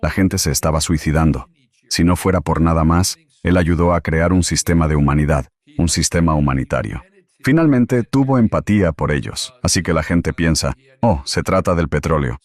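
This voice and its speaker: A man's voice: low-pitched, expressive, normal speed, no room sound. A man speaking as a political commentator guest, emphatic and repeating his key phrases in an interview answer.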